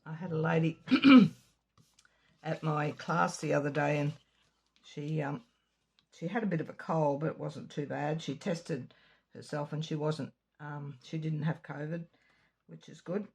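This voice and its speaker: Croaky, husky woman teaching card-making. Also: low voice, steady tone, unhurried, darkish tone. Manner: chatty, rambling asides while working. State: slightly croaky throat. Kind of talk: casual craft tutorial. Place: home craft room.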